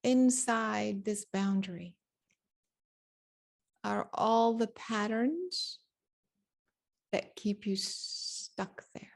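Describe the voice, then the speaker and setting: A woman leading a guided meditation, giving spoken instructions in deliberate short phrases with long pauses between them. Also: medium pitch, lively intonation, vocal fry.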